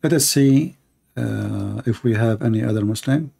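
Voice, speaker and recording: A man's voice: fairly low pitch, creaky, mellow, breathy edge. Blunt man hosting a live call-in. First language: Arabic. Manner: blunt and challenging, with halting pauses and fillers. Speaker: host of a live call-in debate show.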